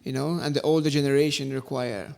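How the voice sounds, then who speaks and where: A man's voice: medium pitch, fairly even intonation, fairly quick, creaky, darkish tone. A man, talking casually and emphatically as a podcast guest, repeating phrases for stress. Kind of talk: casual conversational storytelling.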